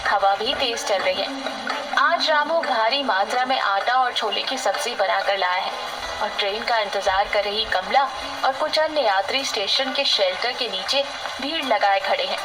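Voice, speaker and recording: Woman story narrator, high pitched voice, loud music behind her. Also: normal speed, low-quality recording.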